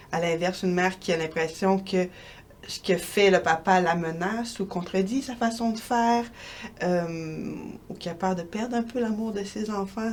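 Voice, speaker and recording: Québécois woman researcher, calm and articulate. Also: fairly low pitch, slight background noise. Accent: Québécois French. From Quebec. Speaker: researcher interviewed as guest. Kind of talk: explanatory interview answers.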